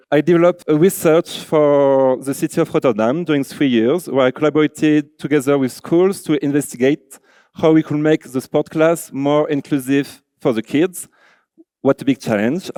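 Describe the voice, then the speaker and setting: A man with a French accent addresses a conference, earnest and loose, leaning on "like" and "you know". His voice sits a touch high for a man, yet mellow and smooth. The sound is dry and close. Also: no room sound. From France. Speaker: conference speaker, designer. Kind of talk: conference talk. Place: large auditorium.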